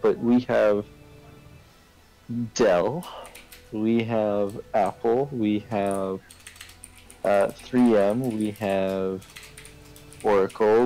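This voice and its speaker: A man's voice: lowish voice, fairly even intonation, extremely slow, long pauses, warm tone, soft background music, low-quality recording. A man chatting casually on a game stream, explaining things in a rambling way and checking in with the listener. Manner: rambling, explains with hypotheticals and tag questions. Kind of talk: casual chat during a game livestream.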